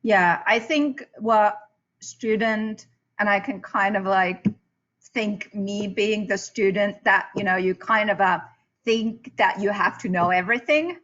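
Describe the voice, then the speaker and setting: A woman from Finland, speaking on a panel in a halting way with many fillers and restarts. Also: medium pitch, fairly bright tone, muffled recording. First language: Finnish. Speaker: panelist, PhD student. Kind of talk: casual panel discussion.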